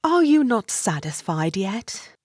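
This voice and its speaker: An actress playing a brisk, sensible married Victorian lady, scolding and theatrical, in an audiobook drama. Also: mid-range voice, big pitch swings, no room sound, clean studio sound. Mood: exasperated.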